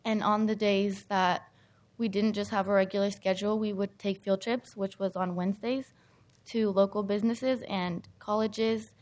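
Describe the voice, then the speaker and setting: Teen girl student doing an earnest testimony speech, pretty flat intonation. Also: mid-range voice, normal speed. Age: teens. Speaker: high school student giving testimony. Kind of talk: prepared public speech.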